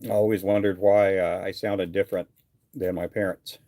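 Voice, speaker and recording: A man's voice: low-pitched, flat delivery, normal speed, warm tone, no room sound. A middle-aged man from Texas chatting casually on a fishing livestream, answering viewer comments in an easygoing, rambling way with many fillers. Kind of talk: casual live chat reading viewer comments.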